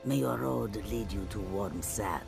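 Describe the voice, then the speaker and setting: A man voicing a weary, courteous cat-man caravan trader in a fantasy video game, with a gravelly, creaky voice. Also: fairly low pitch, measured pace. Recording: loud music behind the voice.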